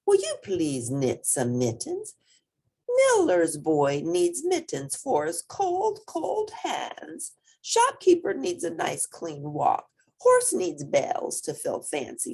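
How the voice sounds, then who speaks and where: A woman voicing a small, earnest, anxious storybook mouse with a pleading, squeaky manner. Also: darkish tone, husky, exaggerated voice.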